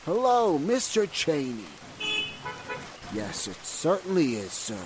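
Man acting a slow, polite doorman, rainy noise. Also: a little high, wildly swooping pitch, heavily acted. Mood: obliging. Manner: polite, servile. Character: deferential, chatty male doorman. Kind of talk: old-time radio drama acting.

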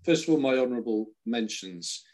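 A man's voice: mid-range voice, expressive, a little fast, darkish tone. A man co-hosting a podcast, talking casually and enthusiastically about tracks, with frequent restarts. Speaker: podcast co-host. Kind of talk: casual chat.

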